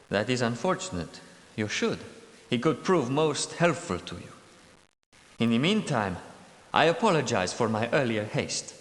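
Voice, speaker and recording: In a cavernous, echoing space, a cunning Grail knight for a video game. He speaks in formal, old-fashioned phrases with expressive swings of pitch, a timid man who is secretly scheming. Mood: apologetic, formal. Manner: formal, archaic, measured diction. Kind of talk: theatrical video game character dialogue. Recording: echoey hall with long reverb.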